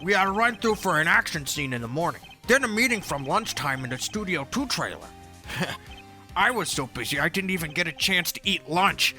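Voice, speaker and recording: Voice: mid-range voice, animated, fairly quick, sharp tone, soft background music. An amateur actor voicing an eccentric, smug, slang-spouting nerdy TV director in a video game fandub, with drawling theatrical geek-speak. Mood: chatty.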